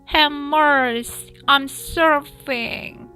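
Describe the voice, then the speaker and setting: A woman's voice: high-pitched, fairly even intonation, pushed and bright, pitched above the performer's own voice, heavily acted. A woman voicing a pitiful, wheedling male fox with a toothache in a storybook, drawing out the words. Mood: pleading, pained. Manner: drawn-out wailing and whimpering. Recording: background music behind the voice.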